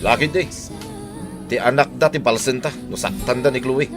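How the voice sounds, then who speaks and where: A man's voice: medium pitch, normal speed, music in the background, lightly acted. A man playing a sly, grumbling village husband in an Ilocano radio drama, with an Ilocano accent. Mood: conspiring.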